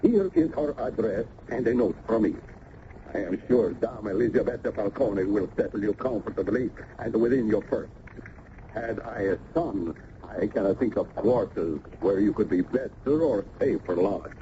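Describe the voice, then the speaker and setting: An actor playing a genial, fatherly older gentleman in a radio drama, speaking in a formal, measured way. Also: fairly low pitch, natural voice. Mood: benevolent. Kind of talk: 1970s radio drama acting. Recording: muffled, noisy old radio broadcast.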